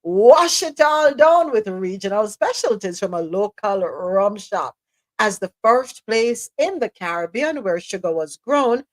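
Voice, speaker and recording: A Jamaican woman hosting a live internet radio show. She has a bright, high-ish voice that leaps up and down, and she drops into patois between laughs. The sound is dry and close. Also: no room sound, poor audio. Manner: animated, laughing, slips into patois. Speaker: host. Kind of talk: casual live radio chat, reading news.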